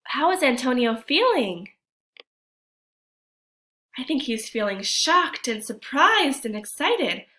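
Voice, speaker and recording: A woman teacher reading a storybook aloud to children, expressively, pausing to ask her young listeners guiding questions. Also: sits high, very animated, pushed and bright.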